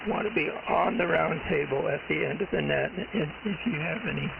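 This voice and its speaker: A man with a croaky voice hosting an amateur radio net in a halting, rambling, casual way over an AM radio transmission. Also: on the high side. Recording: narrow, heavily static-filled AM shortwave radio.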